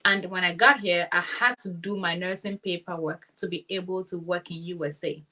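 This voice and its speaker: Confident Ghanaian woman, low voice. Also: steady tone, normal speed, sharp tone, low-quality recording. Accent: West African, Ghanaian. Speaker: nurse and guest. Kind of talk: interview, explaining.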